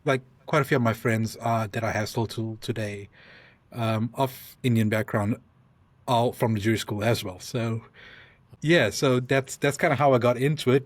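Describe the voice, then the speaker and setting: A South African man, a podcast guest, talking through his story in halting restarts and frequent ums. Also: mid-range voice, no room sound. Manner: thoughtful, speaks in halting restarts with many ums. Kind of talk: conversational interview.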